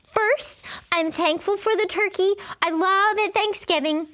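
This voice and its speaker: A woman voicing an excitable, blunt first-grade girl in a children's storybook, eager and emphatic. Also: very high voice, a little slow, voice pushed up. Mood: proud. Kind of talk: children's storybook read-aloud.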